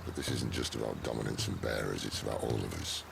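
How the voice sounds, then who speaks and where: A man playing a wry, roguish middle-aged rebel leader in a video game, dry, sardonic and world-weary, with a gravelly voice. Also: extremely low pitch, fast talker, music in the background, exaggerated voice. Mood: earnest, resolute.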